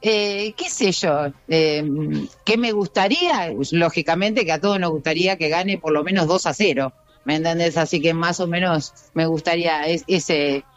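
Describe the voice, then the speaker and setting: A man with an Argentine accent at a gym, chatting in a relaxed, musing way. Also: fairly high pitch, moderate pace, muffled recording. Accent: Argentine Spanish.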